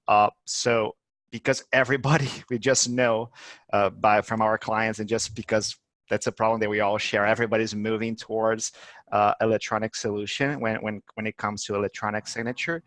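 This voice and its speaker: A man's voice: lowish voice, level tone, normal speed, a bit bright, no echo. Brazilian man, eager, searching for words. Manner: eager, repeats words while finding phrasing. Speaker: guest, head of marketing. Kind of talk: business interview.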